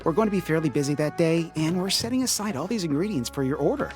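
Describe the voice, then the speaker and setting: A performer voicing an obliging game food-stall vendor with a husky voice and friendly customer-service patter. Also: mid-range voice, expressive, fast talker, music in the background, lightly acted. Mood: friendly, businesslike.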